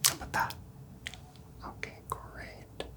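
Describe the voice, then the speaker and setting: A man speaks in a breathy whisper, acting as a doctor for an ASMR roleplay. He is gentle and reassuring, with unhurried, soothing patter as he talks the listener through things. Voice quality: breathy, mostly unvoiced whisper. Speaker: ASMR performer playing a doctor.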